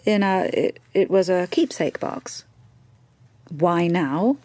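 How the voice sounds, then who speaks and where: An actress playing a proud, desperate middle-aged mother in a radio drama, speaking in halting, deliberate, insistent phrases. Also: fairly low pitch, animated, darkish tone, no echo. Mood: guarded, hesitant.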